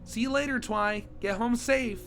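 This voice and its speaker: A man with a hoarse voice from a cold, reading aloud the lines of a bubbly, party-loving young female pony in a comic. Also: very high-pitched, moderate pace, fairly bright tone, faint music behind, voice pushed up. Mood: cheerful.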